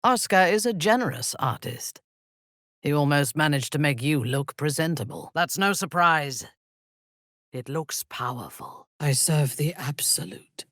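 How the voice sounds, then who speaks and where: A woman playing a commanding, ruthless zealot warrior in a video game, speaking with a low husky voice and a measured, imperious, menacing manner. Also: expressive, fairly bright tone, no room sound, studio quality. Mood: devout, intense. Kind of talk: video game character voice lines.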